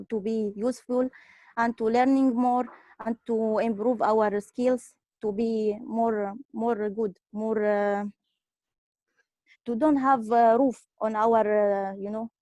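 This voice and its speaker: A woman from Syria, a refugee, speaking halting at an online press conference, a little nervous. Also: fairly high pitch, steady tone, warm tone, no echo, highs cut off. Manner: halting non-native English, nervous. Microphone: video call.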